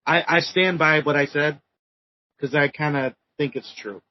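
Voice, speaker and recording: A man co-hosting a podcast, casually recapping results with many ums and pauses. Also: on the high side, no room sound, muffled recording. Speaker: podcast co-host. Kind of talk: casual chat.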